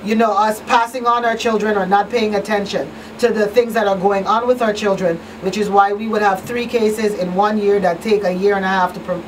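A woman's voice: mid-range voice, recorded from a distance, a little hiss or hum, rough recording. A middle-aged woman hosting a radio talk show, addressing listeners directly and emphatically. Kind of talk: public-service talk show wrap-up. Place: small indoor radio studio. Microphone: boom-mounted studio microphone.

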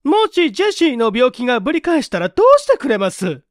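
A man's voice: extremely high pitch, wildly swooping pitch, fast, dry recording, clean studio sound, voice pushed up, heavily acted. A man voicing an indignant, defiant family member protesting an accusation in a story reading. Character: indignant mother and son protesting police accusation.

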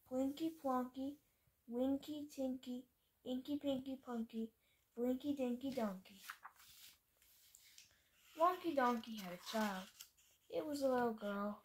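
A woman with a high voice reads a children's picture book aloud. Her delivery is playful and sing-song, with emphasis on the rhyming words. It is a homemade bedroom recording with clear background noise. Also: slow, pauses often, low-quality recording. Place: bedroom at home, indoors.

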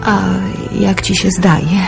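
An actress playing Baśka, a gentle, hopeful young woman, in a radio play. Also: medium pitch, steady tone, slow, creaky, over music. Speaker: Baśka, Hubert's partner.

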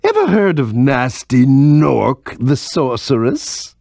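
An actor playing a pompous little tyrant villain in a video game, sneering, with a gravelly voice. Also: male voice, mid-range voice, wildly swooping pitch, slow, dark tone, dry recording, clean studio sound, exaggerated voice. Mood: sneering, menacing.

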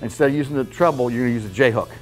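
A man's voice: mid-range voice, racing, soft background music, poor audio. A man in his fifties giving a casual, blunt fishing seminar in a club meeting room, checking in with "okay?" as he goes. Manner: blunt, folksy, repeats "okay?" to check listeners. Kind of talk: casual hands-on fishing talk.

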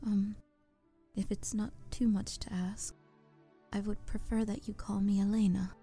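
A woman's voice: medium pitch, flat delivery, a little slow, warm tone, breathy edge, soft background music. A woman playing a timid, polite young opera singer in an audio drama, hesitant and deferential.

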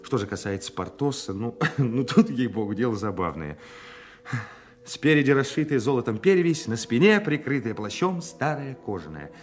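An actor playing an impetuous, self-mocking young provincial swordsman in a radio play, excitable and theatrical. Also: mid-range voice, normal speed, close to an everyday voice. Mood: rueful, musing. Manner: excitable, theatrical, muttering to himself.

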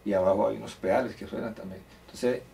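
Older coastal man, gravelly and distant. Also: lowish voice, fairly even intonation, fast talker, mellow. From Colombia, Córdoba. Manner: anecdotal, chatty, with hesitant restarts. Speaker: musician interviewee. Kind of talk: relaxed storytelling interview. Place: indoor room beside a grand piano. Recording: distant mic with faint room noise.

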